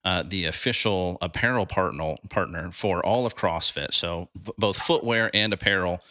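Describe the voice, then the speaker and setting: Bright-voiced podcast host, dry sound. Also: male voice, low-pitched, moderate pace, dry recording. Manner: relaxed, with many fillers. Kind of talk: conversational interview.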